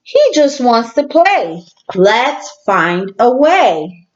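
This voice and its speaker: A woman voicing an eager, excitable young boy shark in a storybook reading, bouncy and exclaiming. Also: fairly high pitch, extremely slow, muffled recording, exaggerated voice. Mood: excited.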